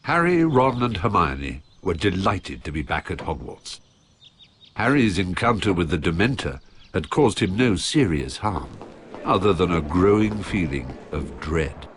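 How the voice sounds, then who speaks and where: A man giving scripted, measured storybook narration for a video game. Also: low voice, sing-song, a little hiss or hum.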